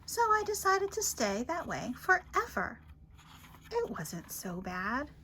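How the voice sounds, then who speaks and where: Lady doing kids bedtime story read-aloud, bright voice, really theatrical, takes her time, bit of background noise. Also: sits high, rough recording. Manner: theatrical, expressive, with dramatic pauses and emphasis. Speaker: library storyteller reading picture books aloud.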